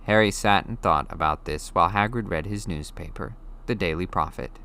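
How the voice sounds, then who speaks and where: A man's voice: low voice, normal speed, pushed and bright, clean tone. A man reading a children's novel aloud as scripted audiobook narration.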